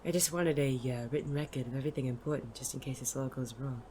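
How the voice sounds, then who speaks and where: An actor playing a world-weary, wisecracking private detective in an audio drama, dry, sardonic and casually profane, with a soft spot underneath. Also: medium pitch, fast, a little hiss or hum, natural voice. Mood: dry, teasing.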